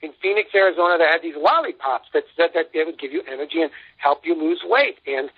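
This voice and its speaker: A man giving an emphatic, boastful sales pitch as a guest in a radio interview, telling anecdotes as he goes. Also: animated, fast talker, husky. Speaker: interview guest, company founder and CEO. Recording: muffled lo-fi phone-like radio line.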